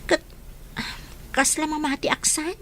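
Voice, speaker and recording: A woman's voice: high voice, fairly even intonation, a little slow, a bit bright, a little hiss or hum. A woman with an Ilocano accent playing a chatty young villager confiding gossip in a radio drama. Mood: gossipy.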